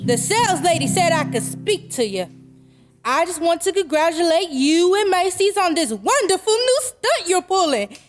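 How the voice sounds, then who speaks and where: A woman's voice: extremely high pitch, big pitch swings, moderate pace, soft background music. A woman playing a brassy, blunt working-class city mother in a radio drama. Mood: impressed. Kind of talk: old-time radio drama acting.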